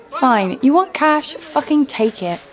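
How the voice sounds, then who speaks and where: An actress playing a ruthless, sardonic assassin in a narrated audio drama, deadpan and menacing. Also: female voice, high-pitched, slow, a crowd in the background, background noise, full character voice. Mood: threatening. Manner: menacing, deadpan, foul-mouthed. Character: ruthless, sardonic woman assassin, destroyer of cities. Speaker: lead actor and narrator. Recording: music behind the voice.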